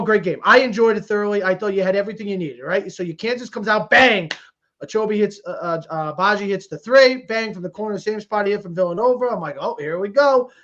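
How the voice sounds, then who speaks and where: A man's voice: sits high, a bit bright. A man co-hosting a sports podcast, giving casual, emphatic commentary with exclamations and repeats for emphasis, in dull lo-fi audio.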